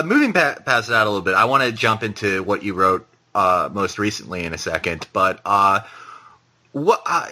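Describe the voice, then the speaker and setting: A man interviewing a guest conversationally, working toward his questions in a halting, roundabout way. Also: fairly low pitch, pushed and bright. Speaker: interviewer, show host.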